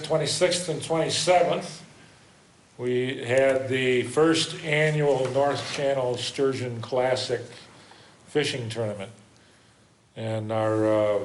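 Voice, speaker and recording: A man speaks at the lectern microphone during a township meeting. He is plainspoken and halting and leans on fillers. The hall sounds roomy and echoing. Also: medium pitch. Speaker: public commenter, fishing club president. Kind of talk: public comment at a meeting.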